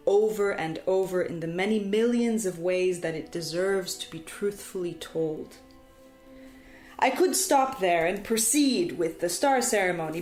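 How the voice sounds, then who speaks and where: A woman storyteller delivering poetic scripted narration in a solemn, deliberate way, with dramatic phrasing and emphatic repetition. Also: on the low side, darkish tone. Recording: echoey, reverberant sound with music behind. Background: music.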